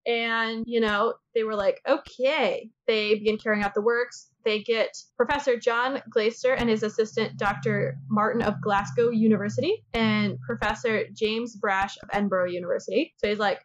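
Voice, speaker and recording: A woman podcast host with a somewhat high voice tells a story casually. She rambles, jokes and swears along the way. The sound is a little muffled and lo-fi. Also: steady tone, moderate pace, few pauses. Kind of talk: casual conversational true-crime storytelling.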